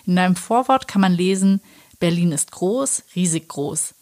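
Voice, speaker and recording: A woman co-hosting a podcast from a small studio, calm and even as she asks questions. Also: fairly low pitch, normal speed. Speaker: podcast co-host. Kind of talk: casual podcast chat.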